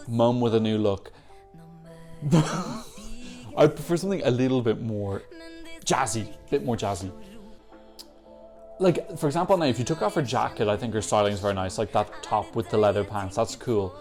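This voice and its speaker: A man with a lowish voice chats his way through a song reaction, opinionated and enthusiastic. Faint music plays quietly behind him. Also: moderate pace, long pauses. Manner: chatty, enthusiastic, opinionated, self-correcting. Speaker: Eurovision reaction host. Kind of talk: casual reaction commentary. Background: faint music from the songs being reacted to.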